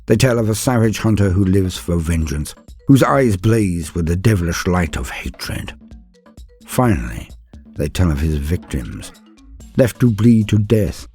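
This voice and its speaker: A man's voice: sits low, sing-song, unhurried, a little mellow, faint music behind. A man giving a theatrical, scripted lore narration in a grandiose storyteller's manner, as the host of the series.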